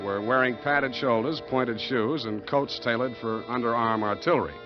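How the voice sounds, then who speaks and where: An actor playing a wry, street-wise private detective, deadpan and wisecracking, in 1940s noir radio style. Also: male voice, lowish voice, close to an everyday voice. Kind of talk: 1940s radio acting, first-person noir narration. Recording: muffled old radio broadcast with music.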